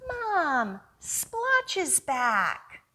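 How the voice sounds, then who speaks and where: An earnest young boy from a picture book, played by a woman reader, high and bright. He pleads anxiously and urgently, stretching his words out slowly. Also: huge pitch swings, heavily acted. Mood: eager. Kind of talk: children's picture-book read-aloud.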